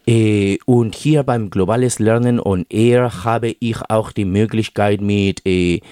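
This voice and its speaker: A man's voice: fairly low pitch, slow pace, dark tone, clear, resonant tone, no room sound, clean studio sound. A man from Peru, a native Spanish speaker with a Peruvian accent, giving a radio interview as a guest and speaking hesitantly with many fillers.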